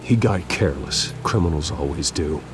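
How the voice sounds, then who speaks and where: An actor playing a brooding, wounded billionaire vigilante in a video game, with a terse, intense way of talking. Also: male voice, very deep voice, lively intonation, moderate pace, music in the background. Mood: subdued. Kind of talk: dramatic video game acting.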